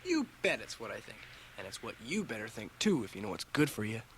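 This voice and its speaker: A cocky schoolboy bully. The voice is brash and taunting, swinging sharply in pitch from a middling level. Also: very animated, a little fast. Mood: threatening. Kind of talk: 1980 radio drama acting.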